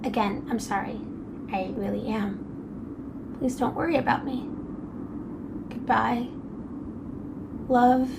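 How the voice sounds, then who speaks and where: A fragile, anxious young girl in an audio drama. Her voice is high and she speaks slowly, halting with emotion, over clearly noisy ambience. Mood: sorrowful.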